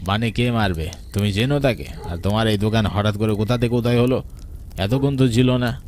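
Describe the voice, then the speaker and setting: A man's voice: on the low side, brisk, a little mellow, a little hiss or hum, close to an everyday voice. Bossy, sceptical police inspector played by a man. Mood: suspicious. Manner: dismissive, bossy. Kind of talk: horror cartoon voice acting.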